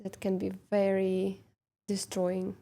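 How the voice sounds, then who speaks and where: Halting woman with a mellow voice. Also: medium pitch, completely flat delivery, vocal fry. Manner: hesitant, halting phrasing. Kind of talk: conversational chat.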